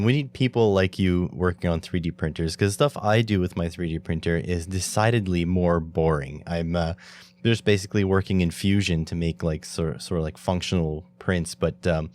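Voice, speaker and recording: Low-voiced enthusiastic Canadian podcast host. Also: male voice, a little mellow, a little hiss or hum. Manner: friendly, enthusiastic, with many fillers. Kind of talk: casual interview chat.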